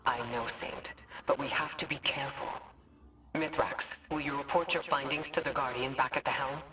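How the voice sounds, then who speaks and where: A woman playing a steady, cautious and resolute commander in a video game, speaking with controlled firmness. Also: rumbling low, moderate pace. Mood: concerned, resolute. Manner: controlled, firm. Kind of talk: video game character dialogue. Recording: distant, off-mic game dialogue.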